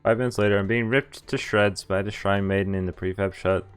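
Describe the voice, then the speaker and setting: A man's voice: on the low side, steady tone, brisk, clear, resonant tone. A man streaming a visual novel, reading the text aloud and dropping in casual, mildly sweary asides. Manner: reacts with laughs and mild swearing between read lines. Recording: music behind the voice.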